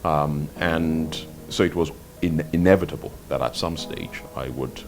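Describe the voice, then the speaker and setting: An older man from Nigeria, an author answering interview questions in a measured, articulate way, often repeating words as he thinks. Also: very deep voice, creaky, faint music behind. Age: 50s or older. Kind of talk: reflective interview talk.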